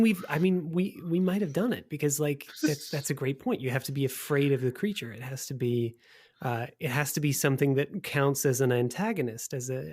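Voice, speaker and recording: A man's voice: mid-range voice, a little mellow. A man chatting casually as a podcast host, thoughtful and rephrasing as he reasons aloud.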